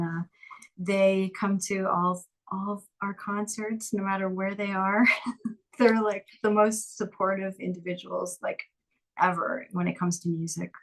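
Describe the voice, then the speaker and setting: Upbeat woman with a flat, lowish voice. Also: low-quality recording. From Lehigh Valley, Pennsylvania. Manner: upbeat, chatty, frequent restarts and laughs. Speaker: musician guest. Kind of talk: casual interview chat.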